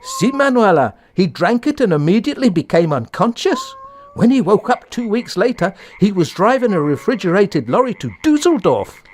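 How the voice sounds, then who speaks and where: A man's voice: sits high, very animated, moderate pace, a little mellow, a little hiss or hum. A man playing a nosy, tactless, gossiping villager in a comic radio play. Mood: gossipy.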